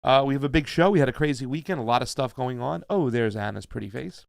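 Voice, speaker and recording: A man hosting a live talk stream, loud and emphatic, with lots of fillers and restarts. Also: medium pitch, fast talker, vocal fry, no room sound, clean studio sound. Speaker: show host. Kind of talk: casual live-stream chat.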